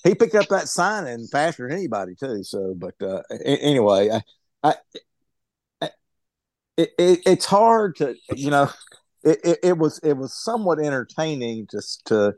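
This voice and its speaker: A man's voice: a little high, very animated, normal speed, a little mellow, dry recording, studio quality. A man casually giving his take in a book discussion over a video call, wry and often repeating words as he stammers along. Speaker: book club member.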